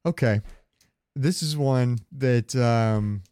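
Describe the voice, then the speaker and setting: A man's voice: medium pitch, takes it slowly, mellow, clear, resonant tone, no echo, clean studio sound. A man co-hosting a podcast, chatting about games in an informal, joking way. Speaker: podcast co-host. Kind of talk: casual gaming chat, ranking voice lines.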